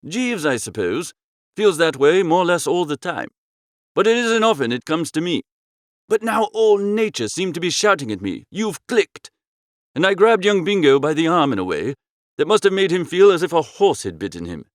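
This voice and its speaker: A man narrating a comic first-person audiobook in a breezy, theatrical, chatty gentlemanly style. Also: fairly high pitch, very animated, normal speed, no room sound, clean studio sound.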